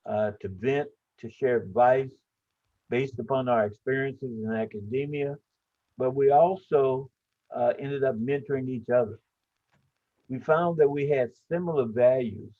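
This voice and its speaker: A man in his sixties giving a personal tribute, telling stories slowly with many "uh" fillers. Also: mid-range voice. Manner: frequent "uh" fillers, measured storytelling. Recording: tinny phone-like audio.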